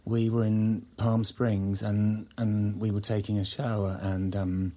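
An actor playing a wounded, self-conscious husband in an audio drama, stammering and hesitant with some resentment. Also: male voice, lowish voice, level tone, a little slow, warm tone, natural voice. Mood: embarrassed, hurt. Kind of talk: naturalistic audio drama acting.

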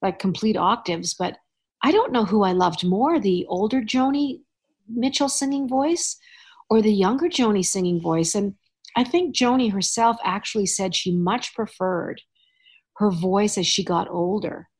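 A middle-aged woman from Canada hosting a relaxed podcast chat. She spins anecdotes with animated asides and easy laughter, at a medium pitch. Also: unhurried, breathy edge. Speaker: podcast host. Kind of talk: casual chat.

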